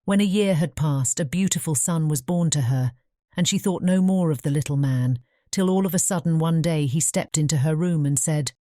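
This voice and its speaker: Low, mellow British woman narrating. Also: normal speed, no echo, clean studio sound. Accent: British English. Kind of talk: scripted fairy tale narration.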